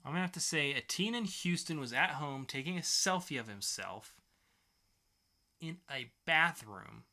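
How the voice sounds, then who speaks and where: Bright-voiced man hosting a podcast. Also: on the high side, animated, normal speed. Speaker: podcast co-host. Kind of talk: bantering podcast game-show hosting.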